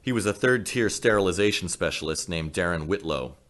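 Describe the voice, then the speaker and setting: A man's voice: sits low, expressive, a little fast, fairly bright tone. A man reading a science fiction story as dramatic scripted narration. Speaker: story reader.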